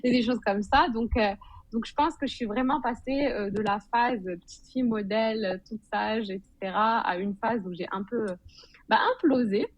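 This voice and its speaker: A woman's voice: fairly high pitch, moderate pace, dry recording. A woman from Morocco speaking as a podcast guest, telling her story in an informal, conversational way with bits of English slang. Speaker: podcast guest, digital consultant and blogger.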